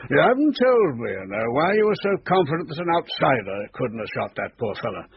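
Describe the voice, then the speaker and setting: Genteel, higher-voiced English police chief. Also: male voice, very animated, fairly quick. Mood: musing, doubtful. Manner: polite, musing, mildly fussy. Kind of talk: radio drama acting. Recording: dull radio drama recording with faint noise.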